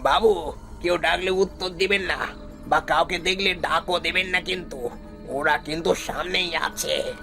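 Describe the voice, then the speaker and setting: A man playing an eerie old ox-cart driver who gives cautioning warnings in an animated horror story. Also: fairly high pitch, a little slow, gravelly, faint music behind, full character voice. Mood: ominous warning. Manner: ominous, cautioning.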